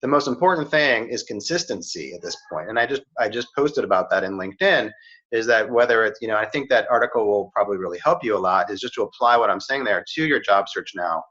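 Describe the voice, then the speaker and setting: A man's voice: fairly low pitch, animated, fast talker, pushed and bright, breathy edge. A middle-aged man giving career advice in a casual chat, earnest and often checking in with "right?" and "you know". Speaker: career coach. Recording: slightly dull, muffled audio.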